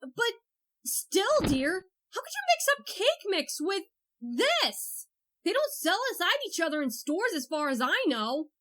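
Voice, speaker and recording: A woman voicing an exasperated, sarcastic young princess in a fan cartoon dub, expressive and easily flustered. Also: extremely high pitch, a little slow, heavily acted. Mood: puzzled. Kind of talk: fan comic dub, animated cartoon acting. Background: music.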